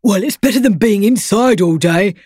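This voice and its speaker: Eager good-boy dog brother, calm and sensible, woman voicing. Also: mid-range voice, normal speed, slightly rough, dry recording, clean studio sound, voice pushed up, close to an everyday voice. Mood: placating. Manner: placating, sensible. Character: eager, dutiful male pet dog, the brother.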